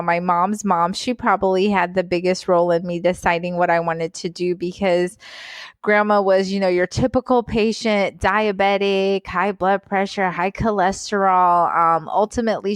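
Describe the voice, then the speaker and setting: A woman from Guyana, a physician guest, telling her story in a casual interview, animated and laughing often. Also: mid-range voice, dry recording. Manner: animated, laughs often, repeats words for emphasis.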